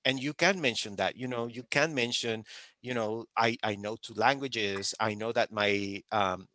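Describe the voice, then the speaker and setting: A man from Puerto Rico with a Puerto Rican accent and Spanish as his first language, giving informal advice as a panelist in an emphatic, halting way. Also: lowish voice, bright, forceful tone, dry recording.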